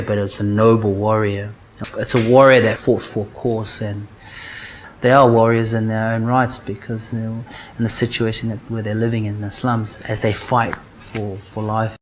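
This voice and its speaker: Earnest New man, halting fillers. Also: on the low side, level tone, a little hiss or hum, low-quality recording. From New Zealand. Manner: earnest, unhurried, frequent "uh" fillers. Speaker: rugby charity co-founder. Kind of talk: interview and documentary testimony.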